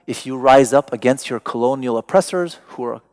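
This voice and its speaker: A man whose mother tongue is Persian gives a conversational public lecture in English from a stage, searching for words and stressing them deliberately. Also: mid-range voice, a little mellow. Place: large dim hall with stage and seated audience.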